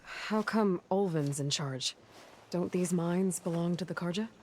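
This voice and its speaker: A woman's voice: on the low side, unhurried, a little mellow, a little hiss or hum, close to an everyday voice. An actress playing a blunt young female hunter hero who speaks tersely and skeptically in a video game.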